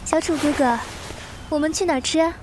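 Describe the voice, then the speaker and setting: A woman's voice: high-pitched, fairly quick, noisy background, natural voice. A woman playing a sweet, girlish, affectionate young woman in an audio drama, with a coy, adoring manner. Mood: affectionate, pleading. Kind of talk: Chinese audio drama acting.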